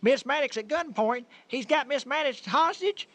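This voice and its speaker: A man voicing a nervous, excitable small-town fellow who stammers in a folksy way. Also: extremely high pitch, normal speed, no echo. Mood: flustered. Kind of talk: old-time radio comedy acting.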